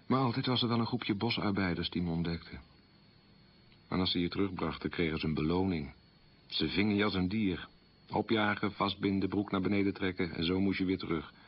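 A man's voice: low-pitched, fairly quick, slightly rough, highs cut off. An actor playing a proud, wounded man recalling a humiliating wartime childhood in a restrained radio monologue. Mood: haunted. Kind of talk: radio drama monologue, confessional storytelling.

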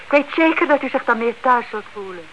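An actress playing an inquisitive, stubborn elderly aunt in a Dutch radio play, politely pressing with questions. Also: female voice, a little high, lively intonation, quick pace, close to an everyday voice. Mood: insistent, hospitable. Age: older. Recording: dull, noisy old radio recording.

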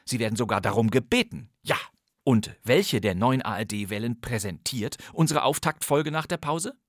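A male podcast host with a medium-pitched voice in a very dry studio. He narrates a scripted introduction in a conversational, playful way and speaks straight to the listener. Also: expressive, moderate pace, no echo. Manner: playful, directly addressing listeners.

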